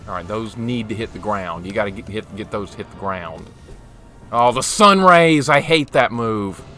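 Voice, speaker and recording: Bright-voiced man in his forties. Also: lowish voice, expressive, normal speed, soft background music. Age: 40s. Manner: chatty, talks to chat while reacting to the game. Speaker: game streamer. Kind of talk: casual live-stream chat while gaming.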